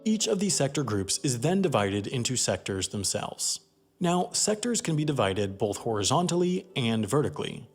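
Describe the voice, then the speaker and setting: A man's voice: mid-range voice, very animated, normal speed. A man narrating a scripted explainer documentary as its host, explaining things with emphatic phrasing. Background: background music.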